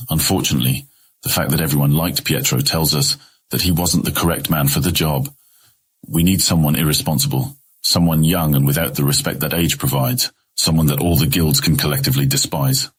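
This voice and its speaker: An actor playing a scheming, condescending noble brother who mocks his younger sibling with cold sarcasm in a husky voice. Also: rumbling low, steady tone, brisk. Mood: lecturing. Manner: smug, mocking, coldly sarcastic. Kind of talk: audio drama acting.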